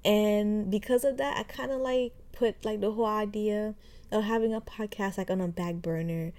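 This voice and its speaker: A woman's voice: mid-range voice, fairly even intonation, normal speed, darkish tone. A woman in her twenties from Haiti, hosting a casual podcast intro and talking chattily with many fillers. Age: 20s. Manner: chatty, many fillers like "like" and "um", frequent light laughs. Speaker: podcast host.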